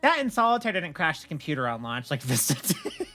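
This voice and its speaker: A woman's voice: low-pitched, a little fast, faint music behind. A woman chatting casually on a livestream, telling stories in a blunt, opinionated way. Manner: opinionated, blunt, animated storytelling with swearing. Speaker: streamer, host.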